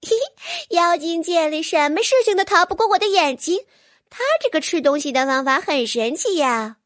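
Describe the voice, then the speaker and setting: Squeaky, playful little fairy. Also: female voice, lively intonation, moderate pace, fairly bright tone, dry recording, clean studio sound. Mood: gleeful, boastful. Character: cheeky, giggly little fairy sprite with a childlike voice. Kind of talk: audiobook character voice.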